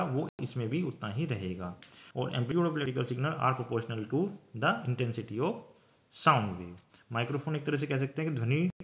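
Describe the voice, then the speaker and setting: The audio is narrow and phone-like. A man with a mid-range voice gives an explanatory lecture. He is didactic and restates his points in other words. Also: medium pitch. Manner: didactic, rephrasing each point. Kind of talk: explanatory slide lecture mixing Hindi with English technical terms. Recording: thin phone-like band-limited audio.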